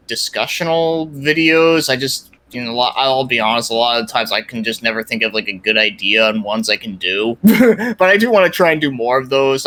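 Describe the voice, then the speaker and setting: A man with a bright, clear-edged voice at an average pitch talks as a guest on a podcast. His delivery is informal and a bit rambling, like someone casually discussing a hobby. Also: rough recording. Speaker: podcast guest, video game reviewer. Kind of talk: casual conversation about video games.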